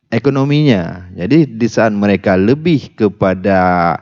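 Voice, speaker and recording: A deep, mellow man speaks as a guest in a radio discussion, pushing his points with emphatic rises and frequent fillers. The sound is dull and lo-fi, like a radio broadcast. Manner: animated, many fillers and restarts, rising emphatic pitch. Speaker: talk show guest.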